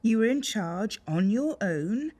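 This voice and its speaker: An actress playing a hesitant, suspicious, awkwardly polite shop boss in a radio soap. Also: female voice, medium pitch, animated, slow pace, mellow.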